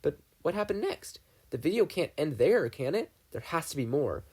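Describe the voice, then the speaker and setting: A man voicing an anxious, excitable young teenage boy in an audiobook reading, urgent and pleading. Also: a little high, moderate pace, breathy edge, voice pushed up. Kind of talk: fan fiction audiobook reading.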